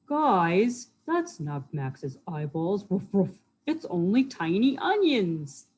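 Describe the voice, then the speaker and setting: A woman's voice: fairly low pitch, big pitch swings, slow pace, a little mellow, a little hiss or hum. A woman voicing a bossy, good-natured dog in a children's story, barking between phrases. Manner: barks "ruff" between phrases.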